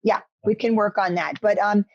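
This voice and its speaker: A woman's voice: mid-range voice, normal speed, no echo, lo-fi sound. A woman from New York with a New York accent, giving media-training advice to participants on a video-call talk show, coaching them by name. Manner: coaching, direct, addresses attendees by name. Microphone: headset on a video call.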